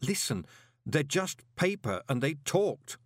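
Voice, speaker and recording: Man doing a frantic young swindler, gasping, strained, high, lively voice. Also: takes it slowly, no room sound, studio quality. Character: panicked young male con man, frantic.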